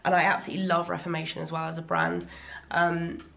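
A woman's voice: fairly low pitch, level tone, fast, creaky, fairly bright tone. Chatty woman, voice worn from laryngitis. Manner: chatty and enthusiastic, frequent ums. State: recovering from laryngitis, voice a little worn. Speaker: fashion vlogger. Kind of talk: casual sponsored haul vlog. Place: bright living room indoors.

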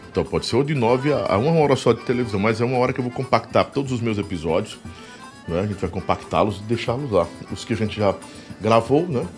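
A man from Piauí, hosting a podcast in animated, joking, rambling casual chat. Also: mid-range voice. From Piauí, Brazil. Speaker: podcast host.

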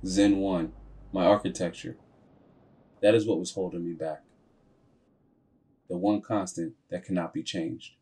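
A man narrating a scripted story in the first person as a grave, proud machine character, solemn and deliberate, with dramatic pauses. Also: sits low, fairly even intonation, dark tone. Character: computer processor telling its own story as a grave, proud character. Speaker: video narrator. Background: music.

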